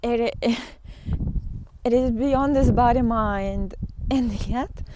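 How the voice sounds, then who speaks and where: Slow, high-voiced woman outdoors in wind. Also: mellow. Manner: earnest, halting, frequent pauses and repetitions. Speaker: spiritual teacher. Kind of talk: unscripted spiritual talk. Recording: windy outdoor recording with clear noise.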